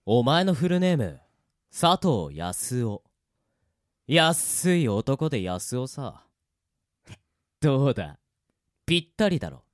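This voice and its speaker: A man playing a cocky, ruthless young game player in an audio drama, brash and sneering with rough speech. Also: mid-range voice, very animated, takes it slowly, long pauses, no room sound. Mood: smug.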